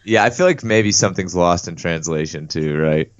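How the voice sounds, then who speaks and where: A young man co-hosting a podcast, talking in an easygoing, drawn-out way and repeating himself. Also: low-pitched, no room sound, highs cut off. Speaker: podcast co-host. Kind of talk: casual podcast chat.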